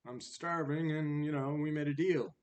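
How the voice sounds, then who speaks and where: A man's voice: on the high side, fairly even intonation, normal speed, muffled recording, pitched above the performer's own voice, natural voice. Man as a hungry, gullible wolf. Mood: impatient.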